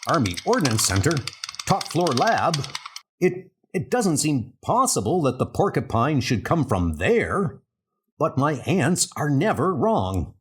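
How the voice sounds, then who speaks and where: Man playing an earnest, animated comic book hero. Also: on the high side, a little slow, slightly rough, exaggerated voice. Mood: puzzled. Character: earnest male scientist superhero, resolute and uneasy. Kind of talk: comic book audio dub.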